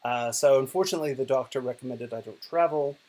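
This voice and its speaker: A man's voice: mid-range voice, fast talker. Ill American man talking from bed. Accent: American, Oklahoma. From Oklahoma, United States. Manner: relaxed, chatty, many fillers and restarts. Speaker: digital poet and professor. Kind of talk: casual recorded video talk. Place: bedroom, lying in bed. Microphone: self-recorded video.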